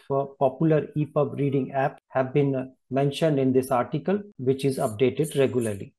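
A man presenting slides in a webinar, explaining things in a measured way. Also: medium pitch, dark tone, low-quality recording.

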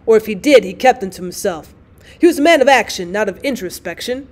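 A woman's voice: medium pitch, animated, a little fast, close to an everyday voice. A woman voicing a gloomy, fussy husband in a storybook, with formal, declamatory phrasing. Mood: dry objection. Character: gloomy, fussy, indignant husband, grandly pedantic.

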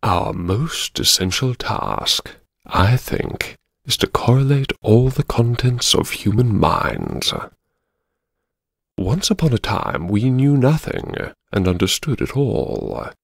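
Man playing a slow, genteel, troubled gentleman diarist. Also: fairly low pitch, big pitch swings, gravelly, husky, no room sound, clean studio sound, full character voice. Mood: solemn. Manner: mannered, hesitant, politely formal. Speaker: audiobook narrator. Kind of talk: first-person dramatic audiobook narration.

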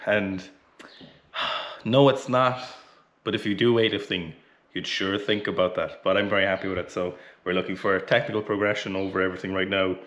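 Irish male coach, 30s, slightly low pitch, casual, swears freely, sweaty between lifts. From Ireland. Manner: blunt, chatty, swears casually. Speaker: weightlifting coach. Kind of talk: casual training vlog talk. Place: small indoor home gym, warm. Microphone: camera close in front.

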